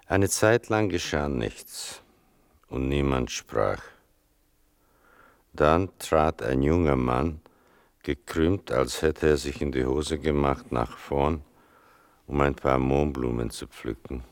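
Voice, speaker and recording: An actor playing a grave son who narrates his mother's wartime story in a deliberate, restrained radio-play style. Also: rumbling low, no room sound, exaggerated voice. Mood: sombre, measured. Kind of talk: slow, measured literary narration in a radio play.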